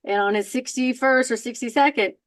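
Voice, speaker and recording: A woman in her sixties interviewing a singer, chatty and quick to laugh. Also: a little high. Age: 60s. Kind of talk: friendly interview.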